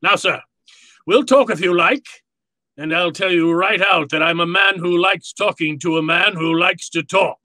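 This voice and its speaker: An actor playing a jovial, courtly, calculating fat crime boss in a radio drama, speaking floridly and with relish. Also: male voice, sits high, moderate pace, pushed and bright, no room sound. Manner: florid, pompous, relishing his words. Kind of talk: old-time radio drama acting.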